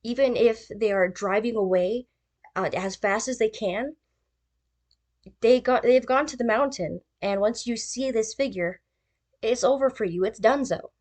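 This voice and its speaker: A woman's voice: on the high side, a little slow, a little mellow. A woman giving casual theory commentary as a video essayist, thinking aloud in an informal, tentative way.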